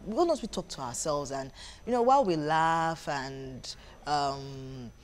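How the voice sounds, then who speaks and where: A woman's voice: low-pitched, expressive, measured pace. Nigerian woman, earnest, with some background noise. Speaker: interview guest, stage play director. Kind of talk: conversational TV interview.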